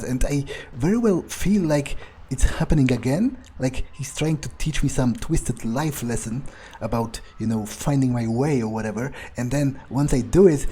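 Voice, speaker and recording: A man's voice: medium pitch, warm tone, breathy edge. A man playing a resentful, suspicious fledgling vampire, grumbling his thoughts aloud hesitantly in an improvised in-character video game playthrough. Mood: suspicious, annoyed. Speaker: gaming video player.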